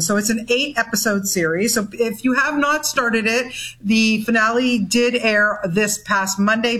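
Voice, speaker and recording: A woman film critic chats on the radio in an eager, gushing way. Her voice is bright and forward, and she bubbles along with enthusiasm. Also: mid-range voice. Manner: enthusiastic, chatty. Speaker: movie critic guest. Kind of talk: radio interview chat.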